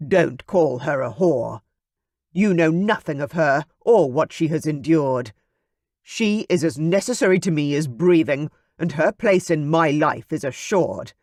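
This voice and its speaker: Brief: narrator playing an aloof duke, cold and firm, lively pitch. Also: a little slow, no echo, studio quality, lightly acted. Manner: cold and dismissive. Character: cold, dismissive aristocratic man, a duke. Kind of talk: audiobook character voice.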